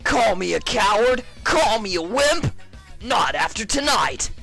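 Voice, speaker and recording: A man voicing a proud, determined male pegasus pony, declaiming loudly and emphatically in a story reading. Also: high-pitched, sing-song, measured pace, creaky, soft background music, voice pushed up, exaggerated voice. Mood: defiant, fired up.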